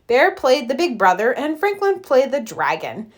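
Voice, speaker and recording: A woman reading a children's story aloud in an expressive, scripted storytelling style. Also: high voice, normal speed. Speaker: storybook reader.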